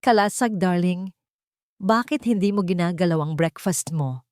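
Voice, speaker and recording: A woman's voice: on the low side, moderate pace, darkish tone, dry recording, clean studio sound, natural voice. A woman with a Filipino accent voicing a poised, falsely soothing rich schemer in an audiobook, assured and explanatory. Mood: coaxing. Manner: assured, explanatory, mixes English into her talk.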